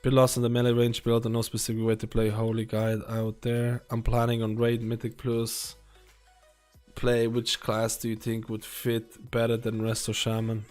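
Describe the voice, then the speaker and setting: This is a male streamer at a mid-range pitch, reading a guide aloud and breaking off into relaxed asides. His voice is wearing thin, and he stumbles and restarts words as he goes. Soft music plays faintly behind him. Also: normal speed. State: losing his voice. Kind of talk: live-stream chat, reading a guide and viewer questions aloud.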